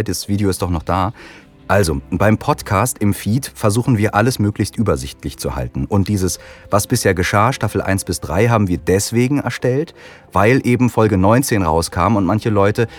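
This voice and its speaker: A man hosting a casual podcast chat, explaining things in a friendly way while he has a cold. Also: on the low side. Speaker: podcast host.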